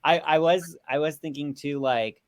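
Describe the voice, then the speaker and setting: Male film-club panelist, smooth clean voice, very dry close sound, halting conversational delivery. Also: fairly high pitch, no echo. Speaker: panelist on a film review show. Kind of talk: casual film discussion.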